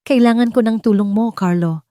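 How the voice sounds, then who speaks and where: A woman's voice: a little high, a little fast, mellow, smooth, dry recording, pitched above the performer's own voice, close to an everyday voice. A woman narrator plays a well-off man in an audio drama, frustrated and earnest. He speaks directly with a Filipino accent, in a dry, close recording. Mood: urgent. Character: frustrated wealthy man, direct and urgent, seeking truth.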